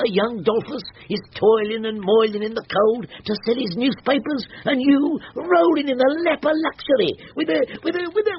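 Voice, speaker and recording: An actor playing a small, excitable, scolding father with an English accent in a theatrical radio drama style. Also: very high-pitched, full character voice. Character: small, flustered, scolding working-class father, fond underneath. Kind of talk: classic radio drama acting. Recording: muffled, faintly noisy radio-drama recording.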